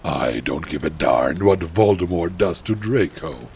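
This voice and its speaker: A man's voice: sits low, unhurried, creaky, darkish tone, slight background noise, pitched below the performer's own voice. A man voicing a mean old wizard headmaster from fan fiction, angry and contemptuous. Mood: scornful.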